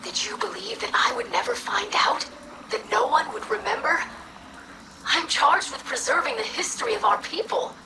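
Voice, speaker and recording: A woman voicing an earnest, dutiful young alien scribe in a video game, with formal, measured diction and wounded idealism. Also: very high-pitched, expressive, creaky, noisy background, full character voice. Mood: pleading, confrontational. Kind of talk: dramatic video game cutscene acting.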